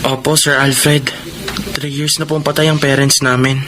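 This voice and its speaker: A man's voice: mid-range voice, level tone, unhurried, background noise, natural voice. A man playing an honest, humble and polite young waiter in a Filipino radio drama. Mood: sorrowful. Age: young adult.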